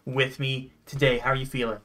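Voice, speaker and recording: A man's voice: medium pitch, flat delivery, brisk, bright, forceful tone. A man in his twenties hosting a gaming video, chatting casually and coaching a player. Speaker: host of a gaming series. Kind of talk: casual let's-play chat, coaching a player. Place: small home room indoors.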